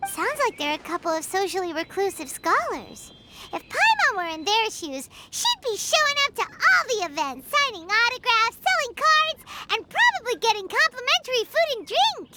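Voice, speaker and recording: Tiny childlike fairy companion for anime game: extremely high, squeaky, wildly animated, chirpy and exclamatory. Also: female voice, moderate pace, very noisy. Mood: curious, chatty. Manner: speaks of herself in third person, exclamatory. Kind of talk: anime video game voice acting.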